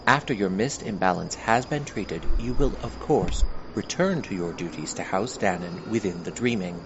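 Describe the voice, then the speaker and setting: Man as a very low-voiced, solemn museum guide. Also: lively intonation. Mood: solemn, formal. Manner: formal, measured, questioning. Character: solemn male guide of an otherworldly treatment museum. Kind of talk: scripted audio guide narration. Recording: clearly noisy, dull lo-fi recording.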